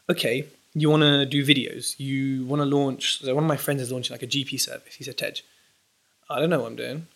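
Young male guest, bright forceful tone, lively rambling delivery. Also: medium pitch, slightly rough. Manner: animated, rambling with restarts. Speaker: podcast guest, property entrepreneur. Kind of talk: casual interview chat.

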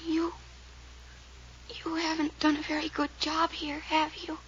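An actress playing an anxious young woman with ominous premonitions in a 1940s radio drama, given to breathy, urgent pleading. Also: high voice, monotone, a little slow, fairly bright tone. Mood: reproachful, weary. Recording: noisy, muffled old-time radio recording.

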